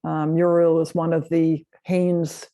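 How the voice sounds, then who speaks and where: A woman's voice: low-pitched, flat delivery, slow, mellow. A woman presenting a local history talk and taking audience questions. She speaks conversationally, often agreeing with "yeah" and restarting sentences. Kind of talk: lecture and audience Q&A. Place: meeting room with a live audience.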